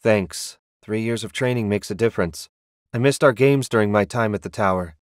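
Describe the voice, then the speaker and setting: A man's voice: fairly low pitch, normal speed, no echo, studio quality, lightly acted. A man voicing an earnest, modest young male sorcerer with a plain, polite manner. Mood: fond.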